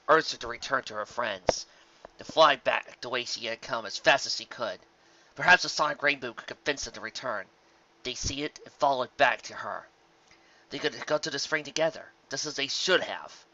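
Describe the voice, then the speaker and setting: A man reading a fan fiction story aloud as narrator, in an expressive, dramatic scripted style. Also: medium pitch, muffled recording.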